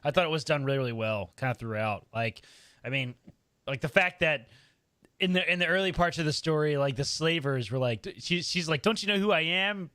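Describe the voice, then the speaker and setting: Casual male panelist, bright and fairly high, loose and colloquial. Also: a little fast, no echo, studio quality. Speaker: podcast panelist. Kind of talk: casual chat.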